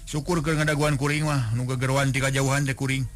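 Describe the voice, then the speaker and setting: Brash young stranger, raised hailing voice, higher than his plain voice, put on by a male storyteller. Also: flat delivery, brisk, lightly acted. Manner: loud, hailing greeting.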